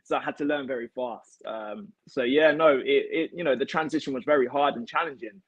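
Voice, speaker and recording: A man's voice: mid-range voice, brisk, a bit bright, husky, dry recording, dull audio. A young British man chatting casually as an interview guest, telling his own story on a video call. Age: young adult. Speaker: guest, elite martial arts athlete. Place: home kitchen, indoors. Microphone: device mic on a video call.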